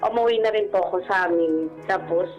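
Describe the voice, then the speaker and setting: A woman's voice: lowish voice, a little fast, highs cut off, soft background music. A Filipino woman speaking as a radio show caller, telling her personal story in a candid, chatty way. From Philippines.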